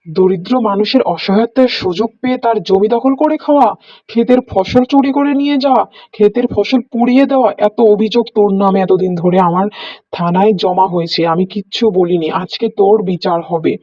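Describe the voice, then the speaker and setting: Man playing a high, menacing police officer. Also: a little fast, warm tone, no echo, distant mic. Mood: threatening. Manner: menacing, scolding. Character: stern, threatening village police officer. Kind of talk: melodramatic cartoon dialogue. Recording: dull lo-fi cartoon audio.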